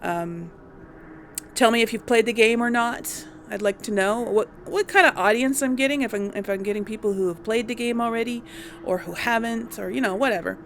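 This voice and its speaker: A woman's voice: fairly high pitch, a little fast, a little hiss or hum. A woman hosting a gaming video with informal, chatty let's play commentary. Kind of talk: casual let's play commentary with role-played dialogue.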